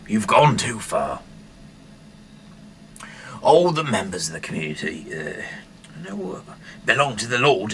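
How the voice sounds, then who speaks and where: Casting: male, very deep voice, bumbling irreverent amateur reader, stumbles and digresses, noisy homemade sound. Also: bright, forceful tone. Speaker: amateur reader of scripture, self-styled rock singer. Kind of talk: rambling, informal reading aloud with asides. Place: at home. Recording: noisy amateur home recording.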